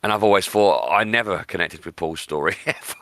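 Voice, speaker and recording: A man's voice: sits low, a little fast, fairly bright tone, no room sound, clean studio sound. A man hosting a podcast, discussing books in an opinionated, emphatic way and repeating words for stress. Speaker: podcast host.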